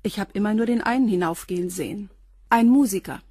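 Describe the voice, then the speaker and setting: A woman's voice: medium pitch, fairly quick, dark tone, husky, dry recording, studio quality, lightly acted. A woman playing a guarded concierge. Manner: guarded, short replies. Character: guarded neat concierge, about forty. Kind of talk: radio play acting.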